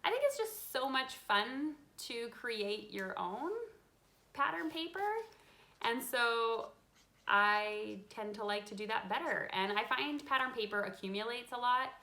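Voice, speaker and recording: A woman in her thirties hosts a relaxed live question-and-answer chat about card making from her home craft room, reading out viewer questions between frequent ums. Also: a little high, a little slow. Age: 30s. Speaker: craft channel host. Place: home craft room with a desk, lit by a window.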